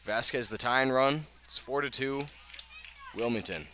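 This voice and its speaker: A man doing live radio baseball play-by-play, speaking in measured short phrases with pauses between them. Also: mid-range voice, pushed and bright, a little hiss or hum. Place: outdoors at a ballpark.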